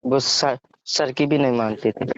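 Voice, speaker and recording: A young man in a casual phone conversation, halting and restarting his words, recorded in lo-fi call audio. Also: medium pitch. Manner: halting, stammers and restarts word beginnings. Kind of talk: casual personal phone chat.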